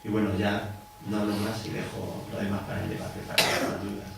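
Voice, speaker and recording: A man's voice: on the low side, monotone, brisk, vocal fry. A man with a central Spanish accent speaks colloquially at a public meeting, explaining things as a teacher and union delegate, with rhetorical questions and little check-in tags. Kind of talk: public talk at a community meeting. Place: indoor hall at a public event. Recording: very echoey hall, distant mic, noisy.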